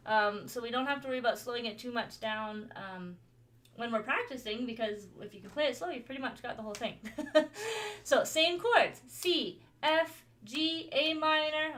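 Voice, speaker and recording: Chatty woman teaching gospel piano. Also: bright, forceful tone. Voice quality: higher voice. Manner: friendly, chatty, with frequent "um" and small laughs. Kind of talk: online gospel piano lesson. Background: piano playing.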